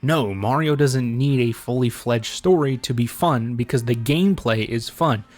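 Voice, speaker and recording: A man's voice: medium pitch, moderate pace, a little mellow. A man giving casual, opinionated video commentary in a blunt, sarcastic, sweary manner.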